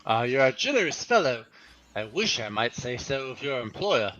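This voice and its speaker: Bright, courteous gentleman charity collector, acted by a man. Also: medium pitch, very animated, normal speed, lo-fi sound. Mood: polite, subdued. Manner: courteous, earnest. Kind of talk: theatrical radio play reading.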